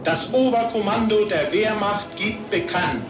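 Man, high voice, solemn 1940s radio bulletin, formal, echoey, music behind. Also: measured pace. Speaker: radio announcer.

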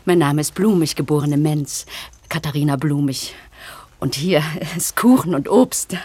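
An actress playing a brisk, practical, newly widowed woman who speaks in a matter-of-fact way in a radio play. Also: sits low, dark tone, slightly rough, no room sound. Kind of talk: 1960s radio play acting.

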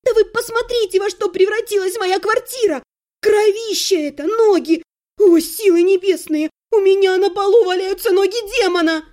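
A woman's voice: extremely high pitch, big pitch swings, slow, a little mellow, voice pushed up, exaggerated voice. A woman voicing a feisty, outspoken young wife in an audiobook, indignant and exclamatory. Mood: indignant squealing. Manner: squealing, exclamatory delivery. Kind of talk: audiobook character acting.

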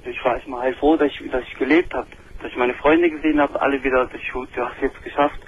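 A man calling into a radio talk show, speaking in an excited, halting way and often repeating himself. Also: mid-range voice, a little hiss or hum. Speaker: caller. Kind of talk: phone-in call. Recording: narrow telephone line.

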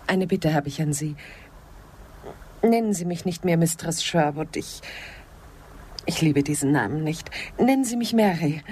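A woman's voice: low-pitched, lively intonation, measured pace, pauses often, dark tone, a little hiss or hum. An actress playing a reserved, wistful married woman out West, speaking politely and hesitantly in intimate radio drama dialogue. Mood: shy, earnest.

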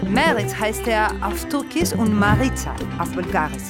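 A woman's voice: mid-range voice, very animated, normal speed, loud music behind the voice, natural voice. A woman playing a radio co-presenter who tells myths of the borderlands in scripted narration. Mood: explaining. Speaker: radio co-host.

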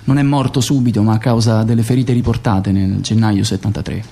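An actor playing a self-justifying secret agent in radio theatre, with clipped, declarative testimony. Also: male voice, fairly low pitch, steady tone, a little fast, gravelly, mellow. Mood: cold, factual.

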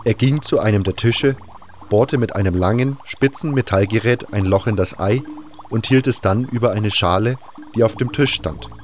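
A man's voice: lowish voice, moderate pace, slight background noise, close to an everyday voice. An actor playing a blunt, weary adventurer who narrates his own quest and speaks his lines in an audio drama. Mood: measured narrating. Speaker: narrator and protagonist. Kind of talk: scripted first-person audio drama narration and dialogue.

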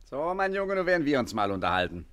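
An actor playing a cocky, coolly threatening gentleman gangster in a 1950s German radio play. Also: mid-range voice, big pitch swings, fast, clear, resonant tone. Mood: mocking, menacing. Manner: breezy, mocking, self-assured.

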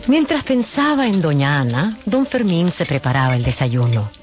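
A radio novel narrator with animated, widely varied intonation, telling the story dramatically. Music plays clearly behind the voice. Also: medium pitch, normal speed.

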